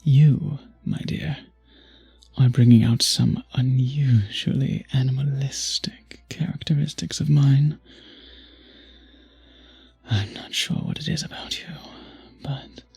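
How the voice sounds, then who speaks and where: Man playing a breathy, slow-talking seductive vampire. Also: mid-range voice, steady tone, darkish tone, soft background music, heavily acted. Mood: intense, hungry. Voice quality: breathy, husky, often dropping to a whisper. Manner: slow, courtly, teasing, flirtatious. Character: charming, seductive male vampire at a masquerade ball. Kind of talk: romantic ASMR audio roleplay.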